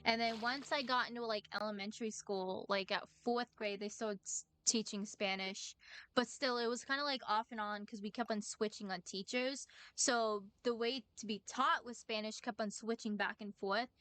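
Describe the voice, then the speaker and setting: A woman's voice: fairly high pitch, fairly even intonation, normal speed, fairly bright tone, no room sound. A woman from the United States chatting casually on a stream, hesitant, with frequent "like" as she goes. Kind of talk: casual chat.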